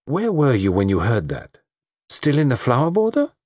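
A man's voice: mid-range voice, huge pitch swings, normal speed, a little mellow, no room sound, clean studio sound, voice pushed up. A man doing a fussy French-accented detective. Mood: probing. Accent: put-on French. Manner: precise, formal, probing questions. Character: fussy, shrewd male foreign detective, middle-aged. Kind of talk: audiobook character voice.

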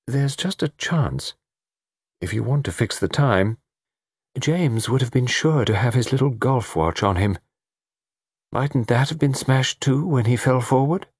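Male reader as refined lady, anguished, halting phrases, softly raised pitch, expressive swings, storybook mystery. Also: husky, no room sound, studio quality. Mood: hesitant. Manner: halting, breaking off mid-phrase.

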